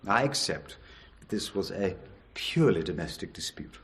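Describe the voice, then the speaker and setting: An actor playing a pompous middle-aged English High Court judge in a radio drama, ponderous and earnest. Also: male voice, big pitch swings, normal speed, slight background noise. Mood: pompous, solemn.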